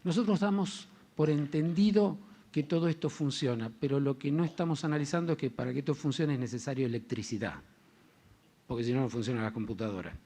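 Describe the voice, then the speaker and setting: Mellow-voiced man with hesitant delivery. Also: medium pitch, a little fast. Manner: hesitant, many fillers. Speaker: panelist. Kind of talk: formal panel talk.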